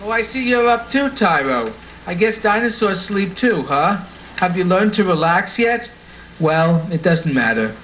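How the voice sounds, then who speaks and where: A man's voice: high voice, sing-song, measured pace. A man playing an earnest, sensible schoolboy in a scripted puppet show, explaining things instructively. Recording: dull lo-fi sound with faint noise.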